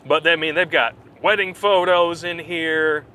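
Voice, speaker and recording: A man's voice: fairly high pitch, fairly even intonation, a little slow, sharp tone, a little hiss or hum. A man co-hosting a casual comedy podcast, reading out a news story and riffing on it with laughs. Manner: chatty, laughs often, riffs on stories. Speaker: podcast co-host.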